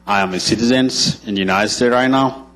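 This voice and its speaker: A man's voice: medium pitch, fast talker, a bit bright, low-quality recording. A man from Sudan who speaks as an added language, thanking a county court in earnest, nonnative phrasing. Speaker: refugee guest speaker.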